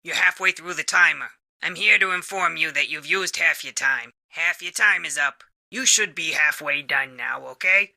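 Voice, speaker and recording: A jaded talking office appliance in a comedy video game. The voice is fairly high for a male and extremely bright and edgy, with grudging, deadpan announcements. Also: moderate pace, gravelly, full character voice. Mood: insistent. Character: jaded, grumpy male talking office machine, bored employee.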